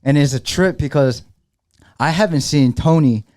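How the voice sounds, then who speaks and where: A man's voice: a little high, moderate pace, darkish tone, no echo, clean studio sound. A young man with a Los Angeles accent hosting a casual chat, slangy, swearing freely and laughing often. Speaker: podcast host.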